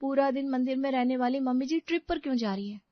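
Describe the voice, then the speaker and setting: A woman voices a young working mother in a family tale. Her high voice is anxious and full of complaint. The sound is dry and slightly muffled. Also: quick pace, no room sound, natural voice. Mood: worried, protesting. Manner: protesting, incredulous.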